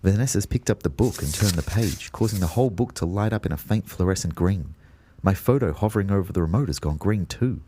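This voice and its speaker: Bewildered ghost narrator in an audio drama, low voice, really lively pitch, wry. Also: male voice, a little fast, slight background noise. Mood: wry, puzzled. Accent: Australian. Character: confused, exasperated young man narrating as a ghost.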